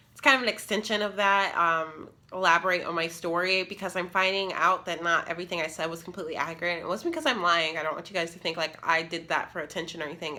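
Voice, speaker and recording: A woman's voice: medium pitch, bright, forceful tone. A woman in her twenties telling a personal story in a casual, rambling way, sounding nasal and congested from a cold. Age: 20s. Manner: rambling, casual, many fillers. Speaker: vlogger. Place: living room, sitting on the floor.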